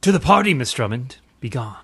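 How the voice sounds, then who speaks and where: Guy doing a suave millionaire hero type, theatrical and pompous, bit of rasp, old radio serial spoof. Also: medium pitch, very animated, a little fast, fairly bright tone, heavily acted. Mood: grandly mysterious. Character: suave millionaire playboy secretly a pompous mysterious crimefighter. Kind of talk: parody of 1940s radio drama.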